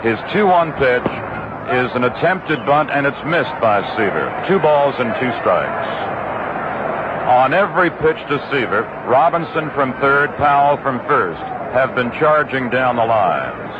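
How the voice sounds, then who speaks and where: A man's voice: medium pitch, sing-song, measured pace. A man doing live radio baseball play-by-play in clipped, descriptive phrases. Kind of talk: live radio sports commentary. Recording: muffled, very noisy 1960s radio broadcast.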